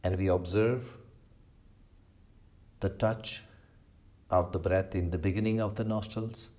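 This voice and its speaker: A man leading a guided meditation as a yoga instructor, giving slow, measured instructions with long pauses. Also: deep voice, fairly even intonation, a little mellow.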